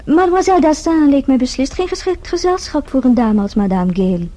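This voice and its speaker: A discreet female hotel maid in a radio drama. She is formal and deferential with a sly edge, in a soft-toned, slightly high voice. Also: expressive, brisk. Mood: discreet, disapproving. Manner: polite, formal, careful. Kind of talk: radio play acting.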